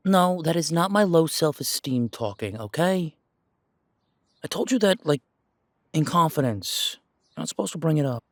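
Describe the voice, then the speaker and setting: A man playing an insecure, defensive werewolf in an audio roleplay, speaking in a halting, stammering way as if confiding in someone close. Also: fairly high pitch, expressive, dry recording. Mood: defensive, exasperated. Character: insecure pure-blood male werewolf, self-doubting, defensive. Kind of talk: audio roleplay drama, one side of an intimate conversation.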